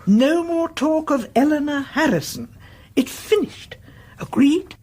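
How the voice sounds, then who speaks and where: An actress playing a kindly, anxious elderly lady in a radio drama, a motherly confidante with a gentle, hesitant, fussy manner. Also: high-pitched, lively intonation, unhurried. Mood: gently firm. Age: older.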